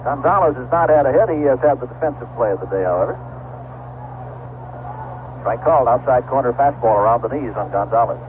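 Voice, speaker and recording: A man's voice: medium pitch, expressive, brisk, pauses often. A man calling baseball play-by-play live on a noisy, tinny 1970s radio broadcast. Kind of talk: live radio sports commentary. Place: ballpark broadcast booth.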